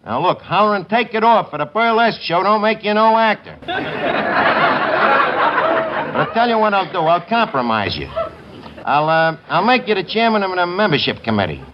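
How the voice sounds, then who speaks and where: An actor playing a scheming, wisecracking lowbrow tavern manager with a New York accent in 1950s radio comedy, using ungrammatical, streetwise speech. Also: male voice, big pitch swings, moderate pace, pauses often. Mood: blustering, wisecracking. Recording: noisy, muffled old radio broadcast recording.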